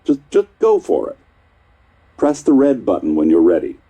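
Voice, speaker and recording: An actor playing a chatty, distracted scientist in a video game, husky and encouraging in a patronising way as he supervises tests. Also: medium pitch, wildly swooping pitch, moderate pace, noisy background. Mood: breezy, encouraging. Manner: patronising, encouraging, hesitant asides. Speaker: test supervisor. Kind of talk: game voice acting.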